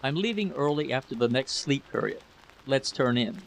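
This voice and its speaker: A man's voice: mid-range voice, animated, moderate pace, creaky. A man voicing a troubled, evasive husband in a story reading, guarded and brief, on a noisy recording. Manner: guarded, murmuring, short sentences. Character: troubled, evasive husband drafted into a scout party.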